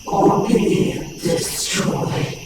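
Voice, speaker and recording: Angry alien saucer for audio story: hollow, crawling, flat drone, deep in cavernous reverb over music. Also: male voice, background noise. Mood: menacing, droning. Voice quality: hollow, droning. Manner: slow, monotone, commanding.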